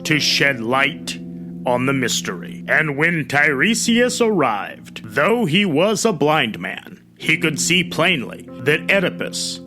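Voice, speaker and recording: Slow, expressive male myth storyteller. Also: fairly high pitch, music in the background. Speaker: storyteller, host. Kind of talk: scripted myth narration.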